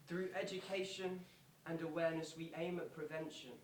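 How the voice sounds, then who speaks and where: An actor playing an earnest male pop star making a slow, pleading, repetitive theatrical speech to stadium football fans. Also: on the high side, steady tone, lightly acted. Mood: solemn, hopeful. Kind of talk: theatrical public speech, reenacted from quoted address. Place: indoor performance venue, recorded from a distance. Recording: roomy, distant, noisy live theatre recording.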